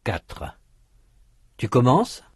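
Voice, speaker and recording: A man reading drill questions for a language course, in slow prompts with lively intonation. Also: medium pitch, slightly rough, no room sound, studio quality.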